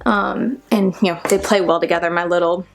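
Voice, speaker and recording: A congested woman in her thirties talks casually through a makeup routine in a shaded room at home. Her voice has a gravelly, fried edge, and she rambles and interrupts herself. Now and then she drops into soft half-whispers. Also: lowish voice, fairly even intonation, a little fast, faint music behind. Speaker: beauty vlogger. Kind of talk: casual chat during a makeup tutorial.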